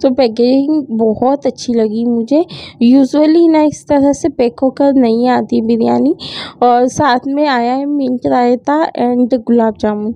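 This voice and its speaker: A young woman with a high, gravelly voice that sounds a little sore, chatting easily through a home vlog voice-over. Her tone is dark and mellow, set close and dry on the mic, with a slightly dull, lo-fi quality to the recording. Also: slow, no room sound. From India. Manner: chatty, mixing English words into Hindi. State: sore throat. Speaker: vlogger. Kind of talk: casual vlog narration.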